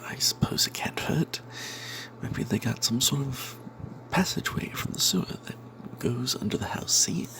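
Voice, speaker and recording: A sly, money-minded cleric adventurer, acted by a man, whispers his fantasy tale in a slow, breathy voice. The tone is confiding and sardonic, with a crackly fry at the edges and hesitant asides. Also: mid-range voice. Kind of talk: first-person fantasy tale told in whispers, ASMR.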